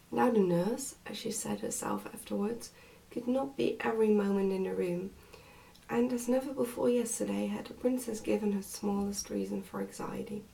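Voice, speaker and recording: Woman, gentle ASMR book narration, near-whisper, dark mellow tone, slightly distant mic. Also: medium pitch, gravelly. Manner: soft-spoken, often near a whisper. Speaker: ASMR reader and host. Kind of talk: soft ASMR book reading.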